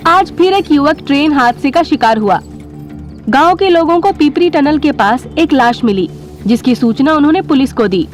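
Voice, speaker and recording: A woman's voice: sits high, animated, moderate pace, soft background music. A woman playing a TV news anchor, reading local news in a formal newsreading delivery.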